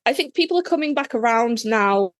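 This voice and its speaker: A woman's voice: fairly high pitch, lively intonation, fairly quick, dry recording, clean studio sound. A woman co-hosting a podcast, enthusiastic and given to repeating her words. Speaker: podcast co-host. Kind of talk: casual podcast interview.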